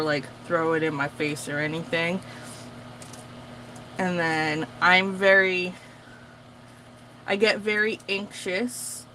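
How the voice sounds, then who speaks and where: A woman's voice: on the low side, noisy background. A young woman whose first language is Portuguese, talking casually and rambling with lots of fillers while she crafts. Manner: chatty, rambling with many fillers. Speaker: craft vlogger. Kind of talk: casual chat.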